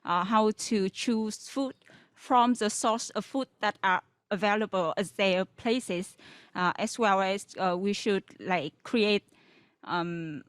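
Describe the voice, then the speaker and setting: Slow, hesitant Vietnamese-accented young woman. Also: medium pitch, clear, resonant tone, no echo, clean studio sound. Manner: hesitant, many fillers. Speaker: graduate student panelist. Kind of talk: panel discussion comment.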